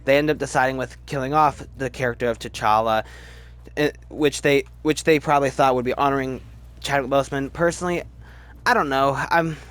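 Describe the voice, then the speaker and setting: A man's voice: mid-range voice, a bit bright, clean tone. A man giving casual, opinionated review commentary as a video host, talking emphatically and often stammering and repeating words. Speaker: video host ranking films.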